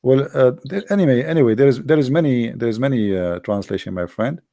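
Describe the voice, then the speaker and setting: A man whose first language is Arabic argues with a caller over a video call, pressing the same points again and again. His voice is mellow and dark, and the sound is close and dry. He fills his speech with rhetorical questions. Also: mid-range voice, fast talker, dry recording. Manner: insistent, repeats phrases, rhetorical questions. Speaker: host debating a caller. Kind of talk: argumentative call-in debate.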